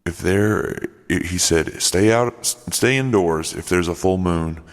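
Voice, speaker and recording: Man playing a very deep-voiced gruff road comic. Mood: grave, halting. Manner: halting, rambling, irritable drawl with malapropisms. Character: gruff, defensive male road comic who is secretly a werebear. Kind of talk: improvised comedy radio play interview. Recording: long reverberant echo.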